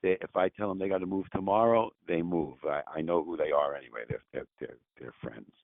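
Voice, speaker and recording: A man's voice: sits low, brisk, gravelly, no echo. A man in his sixties, a property owner talking casually on a call, rambling and restarting his sentences, laughing easily. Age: 60s. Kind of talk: casual phone conversation. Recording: tinny phone line.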